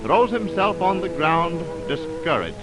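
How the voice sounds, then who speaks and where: Loud music plays under a 1929 radio broadcast recording. A man with a slightly high, very animated voice reads scripted story narration in period radio style. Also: unhurried. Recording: 1929 radio aircheck from optical film.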